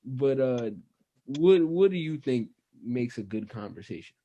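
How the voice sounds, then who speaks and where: A man's voice: medium pitch, expressive, measured pace, dark tone, no echo, lo-fi sound. A young man hosting a casual podcast, asking questions and talking at length in a loose, drawling way. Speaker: podcast host.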